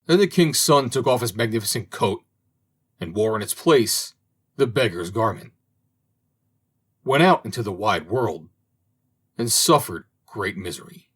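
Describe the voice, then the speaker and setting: A man reading an old fairy tale aloud as an audiobook narrator, in a slow, expressive manner. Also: fairly low pitch, slightly rough. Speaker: audiobook reader. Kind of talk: storybook narration.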